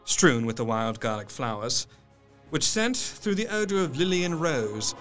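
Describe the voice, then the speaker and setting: An actor playing a grieving young doctor, narrating his diary in a measured, literary and solemn way. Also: mid-range voice, big pitch swings, a bit bright. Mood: grave. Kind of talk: dramatic first-person audio drama narration. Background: music.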